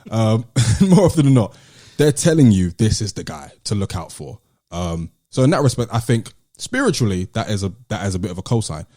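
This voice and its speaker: A man's voice: fairly low pitch, very animated, fairly quick, dark tone, no echo, studio quality. A man with a British accent hosting a casual podcast discussion, explaining his points step by step. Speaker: podcast host.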